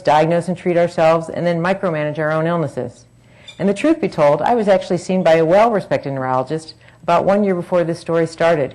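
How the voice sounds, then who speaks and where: A woman's voice: deep voice, darkish tone, poor audio. A woman in her forties reading a frank, earnest personal talk at a public forum, with wry asides.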